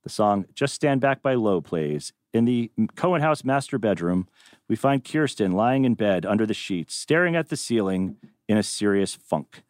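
A man's voice: on the low side, expressive, moderate pace, a little mellow, dry recording, clean studio sound. A man narrating a screenplay's stage directions at a table read. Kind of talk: animated script reading.

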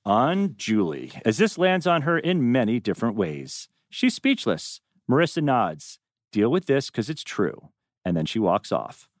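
A man's voice: medium pitch, big pitch swings, measured pace, no room sound, clean studio sound. A man narrating stage directions from a script at a table read.

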